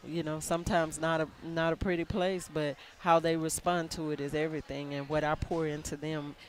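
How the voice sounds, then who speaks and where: A woman basketball coach in a live radio interview, soft-spoken and earnest, often restarting her sentences. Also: low voice, flat delivery, moderate pace, a little hiss or hum, poor audio.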